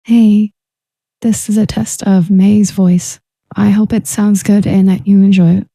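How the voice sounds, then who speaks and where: Dark-toned woman in a dry demo sample. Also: medium pitch, flat delivery, unhurried, dry recording.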